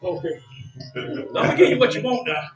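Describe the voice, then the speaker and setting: Echoey, distant stage recording of a man playing an older American barber with a very high, bright voice. He is a folksy, boastful old boxer who teases and repeats himself for emphasis in a stage play. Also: lively intonation, fairly quick, slight background noise. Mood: joking, chatty. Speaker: stage actor. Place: indoor theatre stage, picked up from afar.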